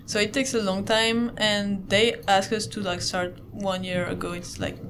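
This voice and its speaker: A woman's voice: medium pitch, flat delivery, moderate pace, a crowd in the background. A teenage girl from Belgium, a guest on a school radio show, chatting and saying "like" often. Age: teens. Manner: chatty, says "like" a lot. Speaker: exchange student guest.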